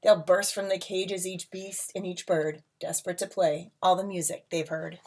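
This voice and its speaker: A woman reading a picture book aloud to children as a teacher, with dramatic emphasis, drawn-out words and casual asides. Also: fairly low pitch, little pitch movement, a little mellow, a little hiss or hum. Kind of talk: expressive storybook read-aloud with casual asides. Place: at home.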